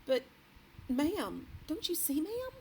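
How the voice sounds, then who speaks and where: A woman doing a flustered, high-voiced middle-aged maid. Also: very animated, slow pace, slight background noise, exaggerated voice, rough recording. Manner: deferential, flustered, keeps saying ma'am. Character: startled, anxious middle-aged female maid.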